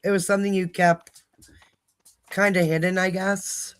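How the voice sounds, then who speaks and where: A woman hosting a livestream who talks candidly about recovery, her voice hoarse and fading, rambling with many fillers. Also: fairly low pitch, little pitch movement, a little slow. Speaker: livestream host. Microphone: phone.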